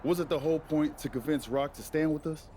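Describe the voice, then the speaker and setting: A man's voice: fairly high pitch, a little fast, warm tone, slight background noise, natural voice. A man playing a loyal, questioning pro wrestler in a video game cutscene, urgent and slangy. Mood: challenging.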